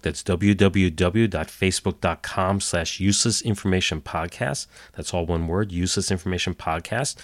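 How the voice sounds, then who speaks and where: Man, very low voice, slightly nasal from a cold, podcast host, dry close sound. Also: dry recording. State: getting over a cold. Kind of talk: storytelling history podcast. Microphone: home computer setup.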